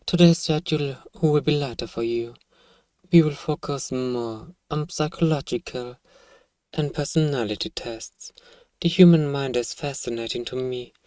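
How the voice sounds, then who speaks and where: A man playing a polite, curious alien researcher in an audio roleplay, speaking in a formal, measured, clinical way. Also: mid-range voice, mellow, no room sound, distant mic, close to an everyday voice. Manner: formal, measured, addresses listener as "human". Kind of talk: scripted audio roleplay monologue, ASMR.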